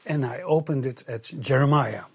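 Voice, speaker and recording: Husky man, halting. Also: medium pitch. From Netherlands. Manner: halting, repeats words, many fillers. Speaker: guest, travel coordinator. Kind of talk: interview testimony in English, paused for interpreting.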